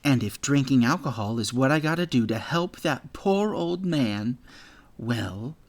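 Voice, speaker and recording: A man's voice: mid-range voice, lively intonation, measured pace, pitched above the performer's own voice, close to an everyday voice. A man reading an audiobook, voicing a sneering, menacing bully boy who taunts his victim. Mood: taunting. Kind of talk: audiobook character voice.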